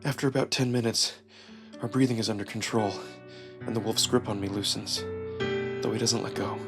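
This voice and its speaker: A man narrating a first-person story dramatically, with expressive, deliberate pauses. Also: fairly low pitch, vocal fry. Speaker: narrator and performer. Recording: music behind the voice. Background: music.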